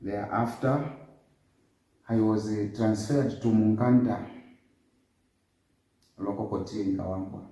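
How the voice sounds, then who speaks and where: A man in his fifties answers interview questions in a measured, formal way, with a lowish, very dark and mellow voice. He is well away from the microphone, so the voice echoes around a hard room. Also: steady tone. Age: 50s. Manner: measured, formal, lists dates and places. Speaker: interview guest. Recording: echoey, distant room sound.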